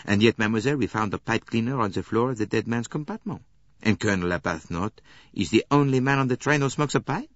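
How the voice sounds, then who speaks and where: A man's voice: medium pitch, quick pace, no echo, highs cut off, voice pushed up, natural voice. A man reading an audiobook and voicing a fussy, shrewd older male Belgian detective with precise, courteous phrasing.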